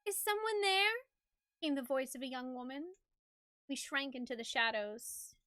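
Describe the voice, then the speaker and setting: A woman's voice: high voice, steady tone, normal speed, bright, forceful tone, no room sound, clean studio sound. A woman streaming a game, chatting playfully and reading the story aloud with expression. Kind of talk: live stream chat mixed with expressive story narration.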